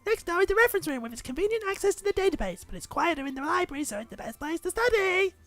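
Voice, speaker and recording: A woman's voice: extremely high pitch, big pitch swings, very fast, a bit bright, no room sound, faint music behind, full character voice. A woman voicing an earnest anime schoolgirl in a visual novel game. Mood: chatty, confiding. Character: earnest high-voiced anime schoolgirl who loves animals.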